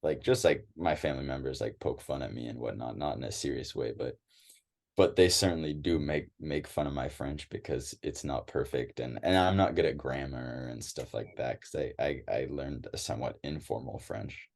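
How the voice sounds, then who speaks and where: A man's voice: very deep voice, moderate pace, vocal fry. A young American man chatting as an interview guest, hesitant, with many restarts and fillers. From United States. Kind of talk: casual interview chat.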